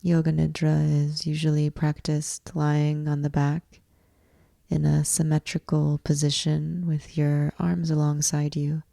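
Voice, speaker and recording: A woman teaching yoga nidra, guiding a meditation in measured, deliberate phrases with long pauses between them. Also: deep voice, monotone, gravelly, mellow, dry recording, clean studio sound. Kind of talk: guided meditation, slow instructional narration.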